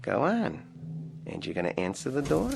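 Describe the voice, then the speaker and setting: An actor voicing a sly, bullying male ventriloquist dummy who talks like a gravelly street tough, in a 1960s TV drama over dramatic score music. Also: mid-range voice, huge pitch swings, normal speed, exaggerated voice. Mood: sneering. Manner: sneering and bossy.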